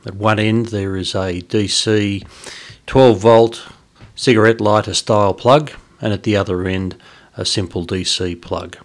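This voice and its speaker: Man, 50s, hobbyist tutorial host, lowish voice, informal and halting delivery. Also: fairly even intonation. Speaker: host of an amateur radio hobby video. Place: small indoor radio room at home.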